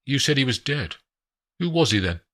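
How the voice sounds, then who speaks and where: A man's voice: medium pitch, big pitch swings, normal speed, a bit bright, pitched above the performer's own voice. A man doing a terse, guarded hitman. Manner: terse, clipped questions. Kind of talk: audiobook character voice.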